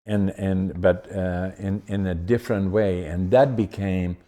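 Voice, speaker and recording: A man's voice: low voice, steady tone, a little slow, dark tone. A man hosting an interview podcast, chatting casually and often restarting his questions as he goes. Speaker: podcast host.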